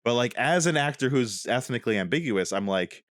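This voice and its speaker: Young male podcast co-host, expressive pitch, dry close mic, rambling. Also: a little fast, no room sound. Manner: animated, rambling with restarts. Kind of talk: casual chat.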